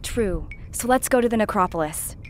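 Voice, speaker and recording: A woman's voice: mid-range voice, animated, normal speed, a little mellow, lightly acted. A woman playing a bold, teasing crew member in a sci-fi audio drama, casual and wry, in a very noisy recording. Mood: eager. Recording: very noisy, ambience behind.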